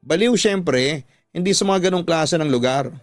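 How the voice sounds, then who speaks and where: A man's voice: on the high side, normal speed, dry recording, soft background music, lightly acted. A man with a Filipino accent voicing a dissatisfied young woman who confides and complains to her friend in a radio drama. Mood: exasperated.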